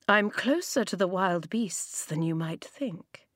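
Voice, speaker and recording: A woman voicing a gentle, mysterious old man in a bear pelt who speaks low and soft. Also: expressive, slow, dry recording, clean studio sound.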